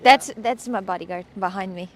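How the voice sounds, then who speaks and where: A woman from Russia, streaming casual chat on a street with her phone, excitable and playful. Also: mid-range voice, moderate pace.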